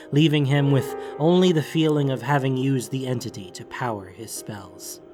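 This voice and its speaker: A man reading scripted fantasy fiction as a dramatic audiobook narrator. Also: medium pitch.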